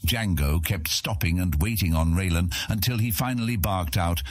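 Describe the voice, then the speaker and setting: Deep, husky male audiobook narrator. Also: normal speed, dry recording, studio quality. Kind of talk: scripted fantasy narration.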